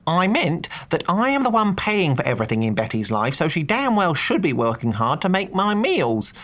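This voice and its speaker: A man's voice: a little high, very animated, normal speed, a bit bright, voice pushed up. A man voicing a blustering, short-tempered aristocratic lord who talks with indignant bluster.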